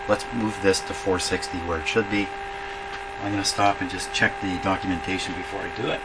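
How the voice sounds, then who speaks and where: A man giving an informal hands-on repair demonstration, thinking aloud in a halting way as he works. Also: deep voice. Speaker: radio repair hobbyist demonstrating. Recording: loud mains hum and workshop noise.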